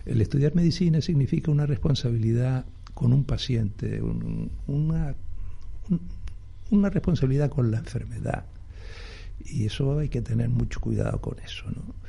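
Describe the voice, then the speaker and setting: An older male doctor, interviewed on radio, speaking in a halting way with many restarts and fillers. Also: medium pitch, warm tone. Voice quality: gravelly, husky. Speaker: doctor and health advocacy guest. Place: radio studio.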